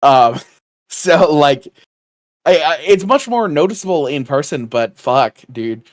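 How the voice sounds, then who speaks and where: A man co-hosting a podcast in casual chat, quiet and rambling, with a soft, slightly raspy, gravelly voice. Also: on the high side, lively intonation, takes it slowly, no room sound. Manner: quiet, rambling, many fillers. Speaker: podcast co-host. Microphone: home studio microphone.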